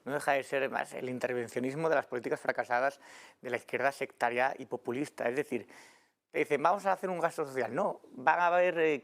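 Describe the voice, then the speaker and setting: Fast-talking gravelly Spanish pundit. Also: male voice, medium pitch. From Asturias, Spain. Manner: heated, indignant commentary. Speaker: panel guest. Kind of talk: studio panel debate.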